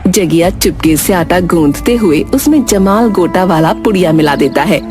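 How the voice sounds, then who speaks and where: Woman telling a kids story, lowish voice, music clearly playing behind her. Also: expressive, moderate pace. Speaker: narrator. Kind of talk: scripted children's story narration.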